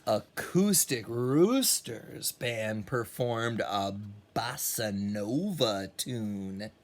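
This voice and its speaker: A man's voice: fairly low pitch, animated, drawn-out words. A man who teaches music, reading a children's storybook aloud expressively with casual, encouraging asides.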